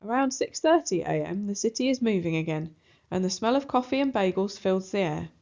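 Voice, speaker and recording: A woman narrating a children's book aloud in an expressive storybook style. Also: mid-range voice, fairly quick. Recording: slightly dull, muffled recording.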